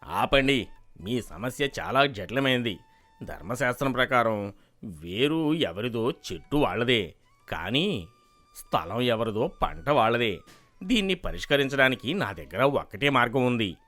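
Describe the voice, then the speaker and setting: A man's voice: mid-range voice, sing-song, measured pace, fairly bright tone, faint music behind. A man playing a gluttonous, short-tempered landlord with a pompous, commanding manner in a storybook cartoon. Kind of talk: animated storybook dialogue.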